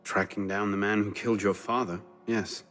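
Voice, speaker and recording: An actor playing an earnest, loyal young assassin in a dramatic video game, speaking in an understated way. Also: male voice, on the low side, fairly even intonation, normal speed, a bit bright, faint music behind, close to an everyday voice. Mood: guarded. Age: young adult.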